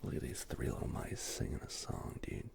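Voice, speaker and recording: A man hosting a casual, rambling show-and-tell in a soft, gravelly ASMR whisper, with slangy asides. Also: moderate pace. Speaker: host.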